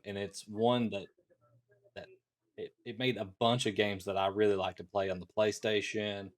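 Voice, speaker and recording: Lower-voiced man chatting as co-host. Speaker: podcast co-host. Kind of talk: casual panel chat.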